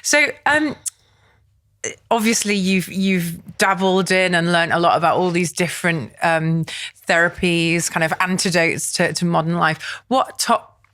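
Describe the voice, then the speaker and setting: A woman's voice: fairly low pitch, a little slow, a bit bright, no echo. A woman hosting a podcast interview, asking questions and encouraging her guest. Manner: encouraging, brief backchannels. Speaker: podcast host.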